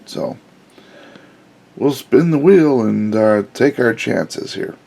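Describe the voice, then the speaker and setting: A man with a scratchy voice giving a relaxed, casual hands-on review of a cheap car stereo, explaining each step with plenty of pauses and "uh"s. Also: medium pitch, gravelly. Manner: relaxed, unhurried explaining with frequent "uh"s. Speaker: car audio hobbyist reviewer.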